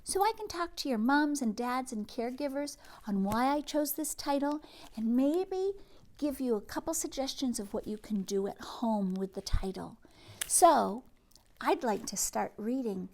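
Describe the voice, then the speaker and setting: A woman reading a children's picture book aloud, expressively, with drawn-out words and hushed asides, speaking directly to young listeners. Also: high voice, lively intonation. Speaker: teacher or read-aloud host reading to children.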